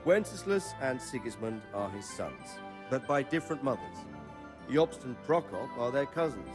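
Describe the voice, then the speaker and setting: An actor playing a wary, fatherly middle-aged medieval nobleman in a game cutscene, speaking in a measured, earnest way. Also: mid-range voice, big pitch swings, over music, natural voice. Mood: explaining. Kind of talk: video game cutscene acting.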